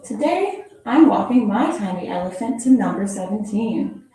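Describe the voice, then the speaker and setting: A woman teacher reading a children's picture book aloud in a playful, expressive storytelling manner, adding little sound effects. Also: medium pitch, normal speed. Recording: very echoey room from far off.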